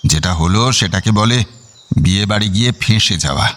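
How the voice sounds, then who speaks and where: A man's voice: fairly low pitch, normal speed, fairly bright tone, slight background noise, lightly acted. A man playing a boastful, playful elderly husband and father-in-law in an audio drama, theatrical and teasing. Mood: teasing regret.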